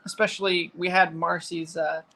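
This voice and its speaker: A man's voice: fairly high pitch, little pitch movement, moderate pace, bright, forceful tone, slight background noise. A man in his twenties chatting casually and informally on a family livestream, rambling a little as host. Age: 20s.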